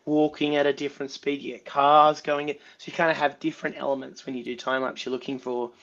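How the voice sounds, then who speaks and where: A man's voice: medium pitch, fast, lo-fi sound. A man with an Australian accent, a guest photographer chatting casually on a podcast, a bit unwell, with an easygoing, filler-heavy manner. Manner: relaxed, filler-heavy, laughs often. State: unwell, slightly under the weather.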